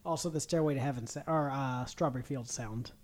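A man's voice: medium pitch, a little fast. A man in casual chat about music, laid-back and full of fillers.

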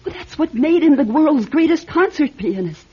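A woman's voice: high voice, animated, normal speed. A woman playing a stubborn, distraught middle-aged widow in a classic radio drama, with a fragile, hesitant, emotional delivery. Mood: defiant, wistful. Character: distraught, stubborn grieving widow of a famous pianist. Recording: muffled vintage radio, music behind.

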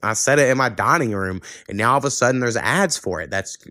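A man's voice: medium pitch, expressive, fast, sharp tone, no room sound, clean studio sound. A man hosting a podcast in a casual, conversational chat. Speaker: podcast host.

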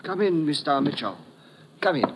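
An actor playing a grave, courteous Japanese doctor who speaks politely and formally in an old radio drama. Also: male voice, fairly high pitch, big pitch swings, moderate pace, noisy background. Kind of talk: 1950s radio drama acting.

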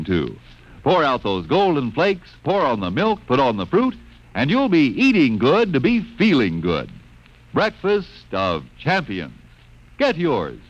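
Swooping, folksy male radio pitchman. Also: wildly swooping pitch, unhurried, poor audio. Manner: folksy, chummy sales patter. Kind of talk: 1950s folksy radio advertising.

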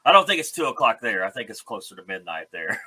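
A man hosting an interview show in a casual, enthusiastic, chatty way, quick to laugh. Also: fairly low pitch, big pitch swings, pushed and bright, slightly rough. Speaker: host of a music interview show.

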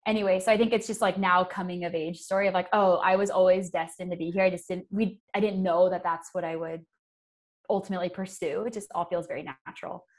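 A woman's voice: on the low side, fairly even intonation, fast. Young American woman explaining things earnestly. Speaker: guest environmental activist. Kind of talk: conversational interview answers.

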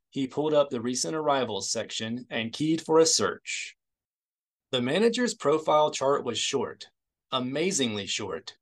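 A man narrating a novel in a scripted audiobook reading style. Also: medium pitch.

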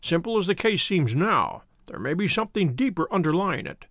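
A man voicing a cool, brilliant male detective who explains his reasoning crisply, like a professor to a class. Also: sits high, very animated, brisk, fairly bright tone, no echo, studio quality, exaggerated voice. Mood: brisk lecturing.

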